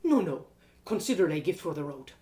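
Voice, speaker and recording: A woman voicing a gentle, wise male mentor in a fantasy story, measured and reassuring. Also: deep voice, sing-song. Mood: kindly.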